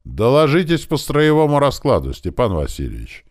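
A man's voice: mid-range voice, wildly swooping pitch, normal speed, dry recording, studio quality, pitched above the performer's own voice. A man voicing a stern older fortress commander who speaks with rustic authority in an audiobook story. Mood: brisk, commanding. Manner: commanding, rustic old-fashioned speech.

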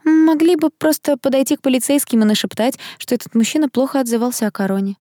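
Casting: woman narrator as huffy, bossy girl in her youth, raised pitch, scolding delivery, storybook. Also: animated, quick pace, dark tone, no echo, clean studio sound. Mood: snippy. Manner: snappy, huffy, high-pitched. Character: sharp-tongued, bossy young woman scolding her companion.

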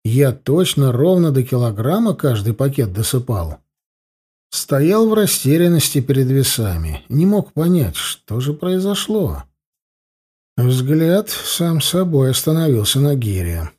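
A man reading a first-person audiobook in a husky, mellow voice. His pitch rises and falls in a lively way as he tells the story. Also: a little slow. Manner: storytelling, with a lively and expressive delivery. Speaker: audiobook narrator.

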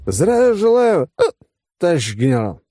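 A man's voice: medium pitch, very animated, normal speed, mellow, faint music behind, voice pushed up, natural voice. A man playing a wry, tipsy space officer who gives casual orders. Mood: tipsy formality.

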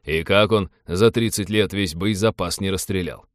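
A man's voice: low voice, normal speed, no echo, studio quality, natural voice. A man voicing a brash, cynical young spaceship pilot with a colloquial, sardonic manner.

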